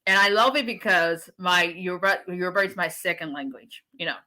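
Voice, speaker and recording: A woman talks casually on a livestream, greeting viewers and urging them to keep faith. Her voice is bright and forceful, with a preacher's lift when she encourages. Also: fairly low pitch, a little fast. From Nigeria. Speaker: immigration attorney.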